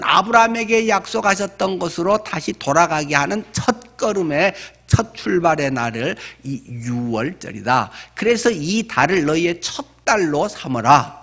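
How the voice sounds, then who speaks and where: A man's voice: high voice, fairly bright tone. A man around sixty, a pastor preaching in a conversational, explanatory way with asides and rhetorical questions. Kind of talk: sermon.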